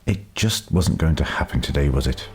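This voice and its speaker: A man reading scripted story narration in a measured, deliberate way, with dramatic pauses. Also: very deep voice, expressive, soft background music. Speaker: audiobook narrator.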